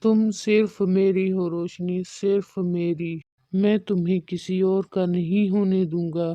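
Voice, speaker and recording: A woman's voice: on the low side, steady tone, unhurried, warm tone, muffled recording, slight background noise, pitched below the performer's own voice, lightly acted. A woman voicing an obsessed, possessive male kidnapper in a cartoon story, speaking with control.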